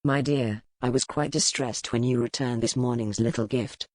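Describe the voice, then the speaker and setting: A man's voice: medium pitch, steady tone, normal speed, no room sound, natural voice. Arrogant lordly rake, acted by a man, pompous, insinuating, mocking, formal period dialogue, dry sound. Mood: oily insinuation. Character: arrogant, menacing aristocratic English rake, smooth and mocking. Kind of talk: historical romance audiobook character dialogue.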